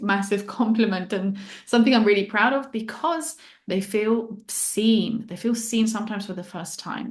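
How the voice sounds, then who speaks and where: Woman in a roomy echo. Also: medium pitch. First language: Italian. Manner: enthusiastic, explanatory, uses analogies. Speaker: human design guide, guest. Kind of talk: interview answers, explaining.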